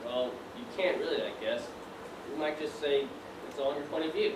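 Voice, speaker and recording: A man acting on stage as an earnest, polite gentleman suitor, apologetic and eager in a projected theatrical delivery. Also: on the high side, fairly quick, far from the mic, natural voice. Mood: hopeful. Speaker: stage actor in a one-act play. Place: theatre stage, distant microphone. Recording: echoey, noisy stage recording from afar.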